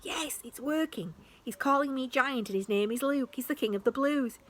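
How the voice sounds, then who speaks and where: A woman's voice: high voice, normal speed, fairly bright tone, pitched above the performer's own voice. A woman voicing a cautious, fretful boy. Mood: worried. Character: cautious, thoughtful young boy.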